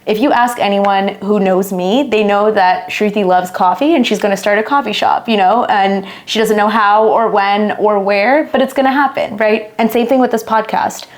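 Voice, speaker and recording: A woman's voice: medium pitch, normal speed, hardly any pauses. A woman co-hosting a podcast, chatting in an upbeat, enthusiastic way. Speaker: podcast co-host. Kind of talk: casual chat.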